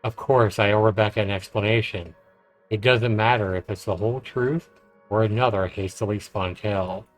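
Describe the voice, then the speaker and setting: A male game streamer with a slightly low voice reads visual novel narration aloud. His delivery is measured, mixed with casual commentary, over soft game music. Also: steady tone, poor audio.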